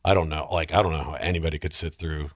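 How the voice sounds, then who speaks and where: A man talking casually and emphatically as a podcast panelist, giving animated opinions on movies and games. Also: extremely low pitch, fast talker, no room sound, clean studio sound. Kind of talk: casual film and game discussion.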